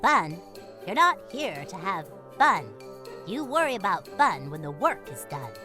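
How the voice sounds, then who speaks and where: A woman playing a brisk, stern but fair male mouse foreman in an audio drama. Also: mid-range voice, big pitch swings, over music.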